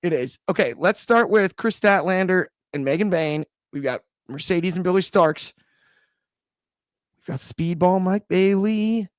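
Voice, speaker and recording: A man hosting a casual wrestling podcast, jokey and quick to laugh as he steers the talk. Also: sits high, clear, resonant tone, no echo, studio quality. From US Midwest. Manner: jokey, laughs often, leads the segments. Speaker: podcast host. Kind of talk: casual chat.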